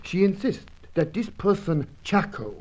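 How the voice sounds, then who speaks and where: An actor playing an earnest, deferential Brazilian manservant in a radio serial, speaking in formal, hesitant, foreign-style phrases. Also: male voice, high voice, very animated, unhurried, darkish tone, full character voice. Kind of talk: old-fashioned radio serial acting.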